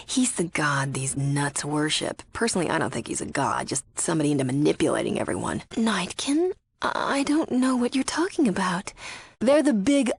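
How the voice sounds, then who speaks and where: A woman playing a guarded, secretly cynical cult follower in a video game, with a husky voice. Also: lowish voice. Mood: airy, evasive. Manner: alternately airy devout reverence and blunt disdain. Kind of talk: video game character acting.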